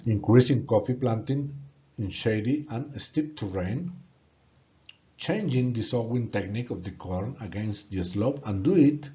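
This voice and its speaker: A man from Colombia with a Colombian Spanish accent, a native Spanish speaker giving an earnest, halting presentation in English and repeating words when stuck. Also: on the low side, expressive, mellow, muffled recording. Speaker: student presenting a course project. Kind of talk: prepared presentation narration.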